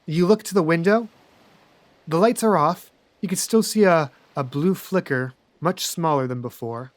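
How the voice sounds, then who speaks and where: A man running a tabletop game as game master, narrating scenes in a dry, deadpan way. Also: a little high, normal speed, dry recording.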